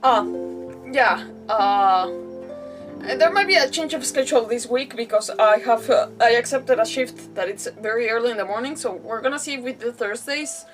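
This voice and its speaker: A woman with a fairly high voice streams a game and chats casually as she goes. She rambles in an animated, self-deprecating way, as if venting to her viewers. She sounds a bit away from the mic, with music clearly playing behind her. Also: normal speed, distant mic. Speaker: game livestreamer. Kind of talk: casual livestream chat to viewers. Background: background music.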